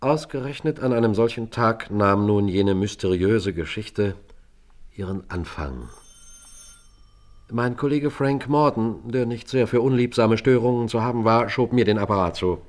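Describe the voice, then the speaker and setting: A man's voice: fairly low pitch, normal speed, lightly acted. A man playing a composed police sergeant who narrates a radio crime drama in a precise, formal manner. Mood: recounting, composed. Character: composed police sergeant recounting an old case.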